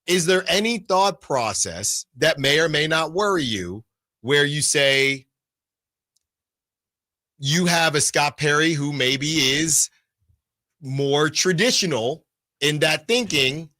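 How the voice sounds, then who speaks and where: A man in his forties hosting a sports talk radio show, animated and rambling, with frequent restarts as he works through a point. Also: on the high side, takes it slowly, long pauses, dry recording, clean studio sound. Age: 40s.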